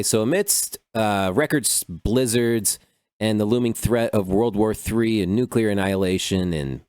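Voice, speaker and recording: A man's voice: on the low side, no room sound, clean studio sound. A man hosting a podcast by himself, riffing on news stories in a loose, profane, rambling way, full of "dude" and "like". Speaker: podcast host. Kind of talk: casual solo commentary on news.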